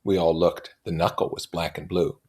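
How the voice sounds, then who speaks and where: A man reading a novel aloud and adding informal explanatory comments in a deliberate manner. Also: sits low, flat delivery, a little mellow, breathy edge. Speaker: reader and commentator.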